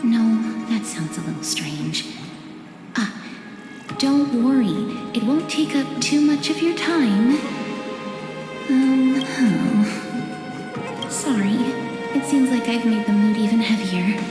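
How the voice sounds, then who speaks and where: A shy awkward young woman, high slow voice, apologetic game dialogue, echoey. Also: animated. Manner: hesitant, apologetic. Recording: echoey hall, music behind.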